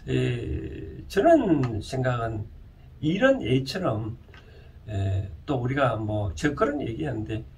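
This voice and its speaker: Male lecturer, Gyeongsang Korean accent, very lively intonation, halting informal delivery full of rhetorical questions, recorded at a distance from the mic. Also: medium pitch. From Gyeongsang region, South Korea. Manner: halting, often asks listeners rhetorical questions. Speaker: lecturer and counsellor. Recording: distant mic with faint background noise.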